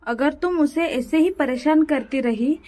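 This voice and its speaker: A wise owl queen lectures firmly, stern and matter-of-fact, as a woman puts her on. Her voice sits fairly high, and she speaks like an elder in a children's fable. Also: a little slow, a little mellow.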